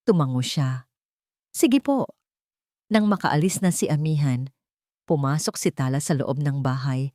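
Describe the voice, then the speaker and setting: A woman's voice: a bass voice, normal speed, darkish tone, dry recording, studio quality. A woman reading a scripted romantic drama as a storyteller.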